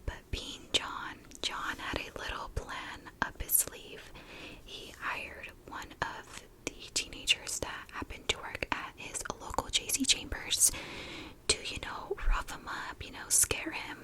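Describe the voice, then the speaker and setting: A woman in a breathy whisper, telling a story in a chatty ASMR style, close to the microphone. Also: measured pace, low-quality recording. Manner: chatty, conversational asides. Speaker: ASMR video host.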